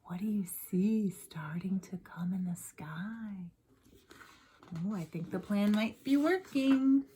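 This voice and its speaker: A woman's voice: mid-range voice, slow pace, mellow, slight background noise. A woman reading a picture book aloud like a teacher, expressively, with chatty asides and questions to young listeners.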